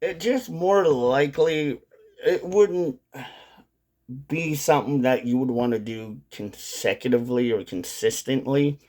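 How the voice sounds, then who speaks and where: A man's voice: mid-range voice, darkish tone. A man with a mid-range voice speaks off the cuff in a casual vlog style. He rambles through his thoughts, often restating and rewording what he has just said. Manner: rambling, repeats and rephrases points. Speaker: video creator on a dipping tobacco channel. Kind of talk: casual unscripted vlog monologue.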